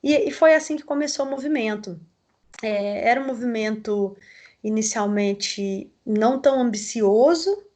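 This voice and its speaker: A woman with a Mineiro accent answering interview questions over a video call, explaining things in a measured way with frequent tag questions. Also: fairly high pitch, a little mellow. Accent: Mineiro Brazilian Portuguese. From southern Minas Gerais, Brazil. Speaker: coffee growers' association representative.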